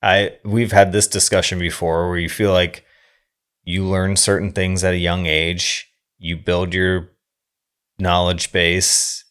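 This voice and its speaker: Low-voiced, reflective man co-hosting a podcast. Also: little pitch movement, a bit bright, clear, resonant tone. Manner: wordy, halting, reflective. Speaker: podcast co-host. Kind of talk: casual film discussion.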